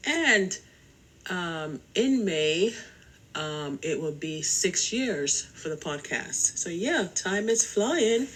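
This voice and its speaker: Slow-paced woman podcaster, chatty and rambling. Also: on the low side, expressive. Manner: chatty, rambling, addresses listeners directly. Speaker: podcast host. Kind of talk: casual solo podcast talk.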